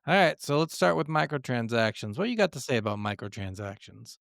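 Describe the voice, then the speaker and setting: A man's voice: mid-range voice, very animated, a little fast, gravelly, fairly bright tone, dry recording, clean studio sound. A man co-hosting a casual gaming podcast, talking informally and swearing freely. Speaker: podcast co-host.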